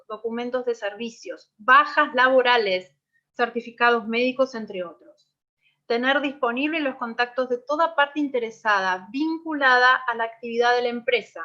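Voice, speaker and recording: A woman's voice: fairly high pitch, pushed and bright. A woman presents a webinar. She reads points out as a list, then answers questions in free speech. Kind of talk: online seminar presentation and Q&A. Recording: muffled video-call audio.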